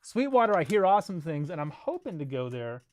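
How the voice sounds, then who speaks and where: A man's voice: a little high, sing-song, brisk, no room sound. An American man from Los Angeles hosting a casual livestream, chatting informally with viewers in a rambling, joking way. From Los Angeles, United States. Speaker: bass player and livestream host.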